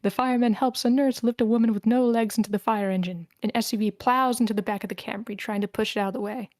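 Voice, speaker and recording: A woman's voice: a little high, steady tone, fast talker, a little mellow, no room sound. Woman narrating a screenplay, dry sound. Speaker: stage directions reader. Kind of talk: scripted narration at table read.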